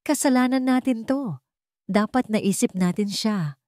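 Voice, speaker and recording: A woman's voice: fairly high pitch, a little slow, darkish tone, no echo, voice pushed up, lightly acted. Worried friend, voiced by a female narrator: earnest, insistent, urgent, close dry sound. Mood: guilty insistence. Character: urgent, worried companion of the heroine.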